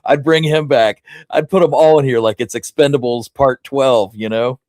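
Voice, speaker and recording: A man's voice: medium pitch, steady tone, moderate pace, darkish tone, no echo, studio quality. A man co-hosting a history talk show, telling anecdotes in an informal, rambling way. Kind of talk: casual chat with anecdotes.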